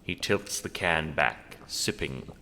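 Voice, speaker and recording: A man narrating a scripted audio drama in a theatrical, deliberate storytelling manner. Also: a bass voice, lively intonation, pushed and bright, big-room sound, a little hiss or hum.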